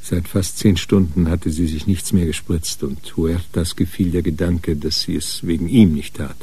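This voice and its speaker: A man's voice: low-pitched, fairly even intonation, normal speed, dark tone, husky. A man reading scripted narration for a German radio crime drama.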